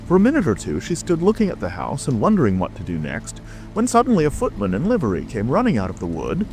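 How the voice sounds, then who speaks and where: A man's voice: mid-range voice, huge pitch swings, fast talker, a little mellow, faint music behind, low-quality recording. A man narrating a classic storybook as a scripted audiobook.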